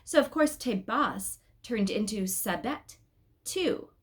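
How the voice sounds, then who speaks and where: Animated woman reading a story aloud. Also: a little high, slow. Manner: animated, addresses listeners with asides. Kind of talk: expressive informal read-aloud narration.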